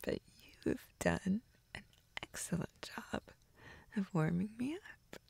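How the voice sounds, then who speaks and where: A woman playing a shy, affectionate young woman in an intimate roleplay audio, speaking softly and breathily, often near a whisper, with halting stammers. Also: medium pitch, expressive, gravelly, husky, no room sound, exaggerated voice. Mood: tender, proud. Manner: hesitant, halting, with nervous stammers.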